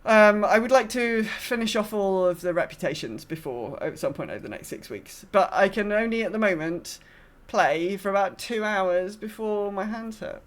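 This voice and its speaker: Female podcast co-host, casual gaming chat, meandering and self-correcting, mid-pitched voice. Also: expressive, normal speed. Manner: rambling, self-correcting, quotes herself in asides.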